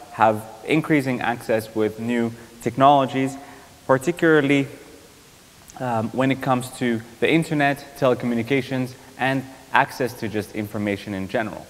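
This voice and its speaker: A young man from Iraq gives a university guest lecture, speaking in a somewhat low voice. He talks in a measured, thoughtful way with frequent ums and self-corrections. His voice rings with the long reverb of a lecture hall. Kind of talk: academic lecture.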